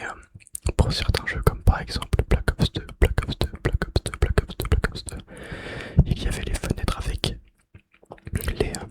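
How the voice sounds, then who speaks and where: A young man whispering ASMR gaming facts in a breathy, unvoiced voice with mouth sounds, slightly out of breath, repeating phrases slowly, close to the microphone. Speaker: ASMR video creator. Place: bedroom.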